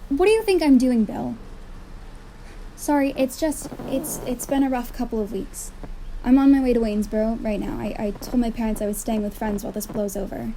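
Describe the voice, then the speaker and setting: A woman's voice: on the high side, brisk, background noise, close to an everyday voice. A young woman playing a weary, sceptical investigative journalist in an audio drama, dry and exasperated. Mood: weary, tense. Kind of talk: naturalistic audio drama acting.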